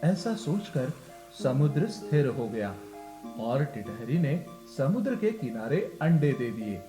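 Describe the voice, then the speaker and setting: A man narrating a scripted children's story as a storyteller. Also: mid-range voice. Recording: echoey voice over music. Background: music.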